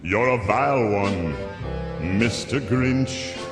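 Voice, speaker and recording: A man with a booming, dark and creepy bass sings slowly, stretching out each line. He is recorded in a roomy old soundtrack with long reverb, and loud jazzy band music plays behind him. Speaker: singer. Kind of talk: sung cartoon song with spoken asides.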